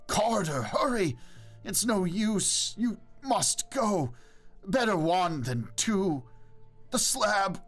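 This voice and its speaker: A man's voice: high voice, big pitch swings, slow, voice pushed up, full character voice. A man voicing a panicked young man trapped underground, crying out desperate warnings in a horror story reading, over faint music. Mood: hushed urgent. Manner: desperate pleading cries and shouts.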